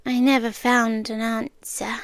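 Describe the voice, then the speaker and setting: A British woman acting in an ASMR roleplay as an obsessive, calmly possessive girlfriend, speaking intimately and often slipping into whispers. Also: on the high side, unhurried, no room sound. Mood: brooding, obsessive. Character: obsessive, soft-spoken yandere girlfriend, calmly possessive young woman captor.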